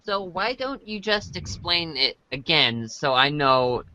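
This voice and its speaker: A man's voice: on the high side, expressive, takes it slowly, pushed and bright, heavily acted, poor audio. A man playing a skeptical, annoyed human boy in an amateur reader's theater, talking in a sullen, hesitant way. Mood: wary, questioning.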